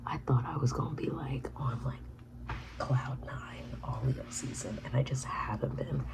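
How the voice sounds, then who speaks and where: A woman in her thirties whispering a personal vlog, halting and reflective. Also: extremely low pitch. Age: 30s. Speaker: vlogger.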